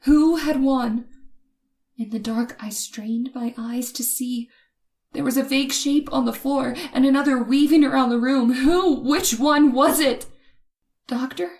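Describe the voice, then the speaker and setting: An actress playing a terrified young woman who narrates her night of terror in old-time radio suspense style, dramatic and halting. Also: sits high, a little mellow. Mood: panicked terror.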